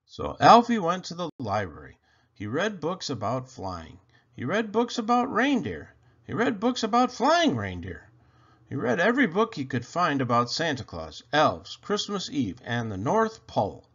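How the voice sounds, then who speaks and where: Very expressive man reading a picture book. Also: fairly high pitch, breathy edge. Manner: expressive, slow, deliberate. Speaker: storybook reader. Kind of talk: children's picture book read-aloud. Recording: dull lo-fi recording.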